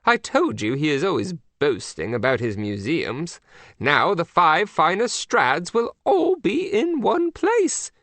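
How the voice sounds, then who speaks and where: A storybook reader voicing a haughty, dramatic older queen who speaks in a grand, formal, drawn-out way. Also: mid-range voice, very animated, a bit bright, no echo, voice pushed up, heavily acted. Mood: indignant. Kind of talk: audiobook character voice.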